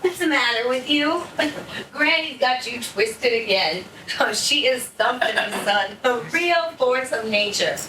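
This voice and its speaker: A woman playing an outspoken, teasing Caribbean friend who tells stories expressively in a stage play. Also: fairly high pitch. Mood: amused, animated. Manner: expressive, teasing, drawn-out delivery. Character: playful, outspoken Caribbean girlfriend, teasing storyteller. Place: indoor stage, mic far from actors. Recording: roomy, distant stage recording with noise.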